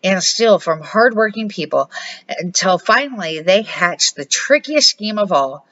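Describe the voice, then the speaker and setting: A woman's voice: mid-range voice, lively intonation, unhurried, a bit bright, muffled recording. An older woman reading the part of a patient old grandfather who explains things emphatically in a children's storybook. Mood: grave, emphatic. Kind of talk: storybook read-aloud.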